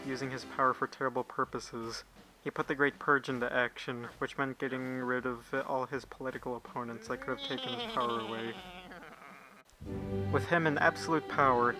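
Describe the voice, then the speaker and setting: A man's voice: medium pitch, low-quality recording. A man reading scripted explainer narration for a puppet show.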